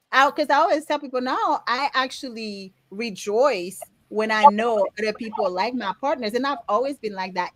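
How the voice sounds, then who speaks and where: A woman in her forties with a Haitian accent, co-hosting a podcast and telling frank, animated stories with frequent laughter. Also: on the high side, no echo. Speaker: podcast co-host. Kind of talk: candid conversational podcast chat.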